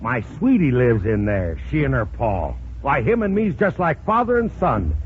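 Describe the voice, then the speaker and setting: An actor playing a blustering, roguish fishing boat captain with a folksy, ungrammatical drawl in 1940s radio comedy style. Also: male voice, mid-range voice, sing-song, normal speed, lightly acted. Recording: tinny, noisy old radio recording.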